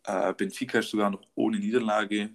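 A young man co-hosting a casual football podcast, his voice sitting a little low. He runs through match statistics in an upbeat way, with lots of filler words and small restarts. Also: fairly even intonation, a little fast. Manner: stat-heavy, upbeat, filler-laden delivery. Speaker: podcast co-host.

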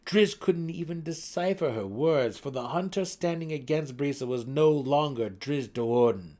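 A man's voice: on the high side, unhurried, warm tone. A man reading scripted fantasy narration in a dramatic, intense and theatrical way. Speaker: audiobook narrator.

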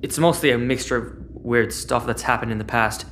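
A woman's voice: very deep voice, fairly quick, fairly bright tone, slight background noise. An actress playing a hesitant, confused teenage boy full of questions in an audio sci-fi drama. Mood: questioning. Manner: hesitant, unsure. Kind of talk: audio-fiction drama dialogue.

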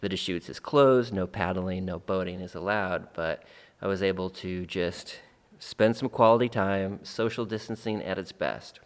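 Man, podcast host, reflective, repeats himself for emphasis, lowish voice, long echoing reverb. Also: moderate pace. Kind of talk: podcast monologue and interview. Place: Central Oregon.

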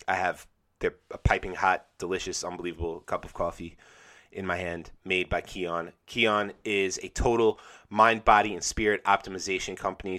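Male podcast host, deep voice, congested and drowsy, enthusiastic ad read with lots of ums. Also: a bit bright. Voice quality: slightly nasal. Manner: rambling and enthusiastic, many fillers and restarts. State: congested from a cold, sleepy morning voice.

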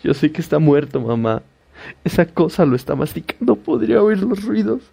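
A man voicing a frightened young woman who pleads and stammers in panic, in a gravelly recorded horror story. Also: on the high side, big pitch swings, moderate pace, mellow, exaggerated voice. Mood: panicked.

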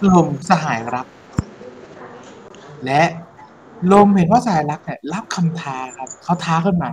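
A man's voice: on the high side, animated, measured pace, a little mellow, slight background noise, poor audio. A man narrating a comic story and scolding his friends casually, exasperated and repeating himself. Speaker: narrator and moderator.

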